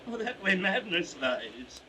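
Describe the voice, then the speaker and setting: A man's voice: high voice, sing-song, slow pace, exaggerated voice. An actor playing a proud, anguished old king slipping toward madness, grave and declamatory in classical Shakespearean style. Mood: defiant grief. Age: older. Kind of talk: classical Shakespearean audio drama acting. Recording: hissy vintage 1960s drama recording.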